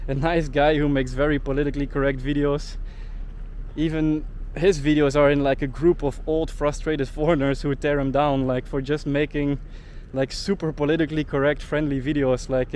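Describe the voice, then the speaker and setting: A man's voice: medium pitch, darkish tone, slight background noise. A young man in a ranting vlog monologue, indignant and peppering his talk with "like.". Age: young adult. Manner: indignant, heavy use of "like" fillers. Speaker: travel vlogger.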